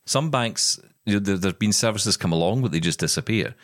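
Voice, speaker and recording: Male co-host, low and lively, playful banter, dry home recording. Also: fairly quick, dry recording. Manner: chatty, jokey, acts out quoted exchanges. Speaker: podcast co-host. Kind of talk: casual chat. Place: spare room at home. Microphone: remote recording.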